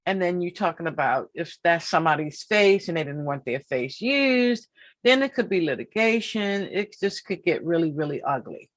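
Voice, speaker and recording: A woman in her fifties hosts a casual interview. Her voice sits a little low, and she speaks slowly and emphatically, often repeating a phrase to drive it home. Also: expressive. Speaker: podcast host.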